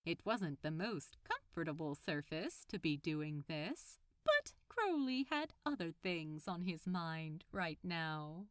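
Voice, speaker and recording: A woman reading a story aloud as narrator, in an expressive scripted style. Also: on the low side, slow pace, few pauses, no room sound, clean studio sound. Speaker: fan fiction narrator.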